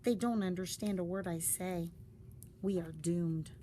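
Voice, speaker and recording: A woman reading a story aloud in a deliberate, dramatic storytelling style. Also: fairly low pitch, fairly even intonation, mellow. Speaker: storybook reader. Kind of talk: expressive read-aloud of a story. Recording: faint background noise.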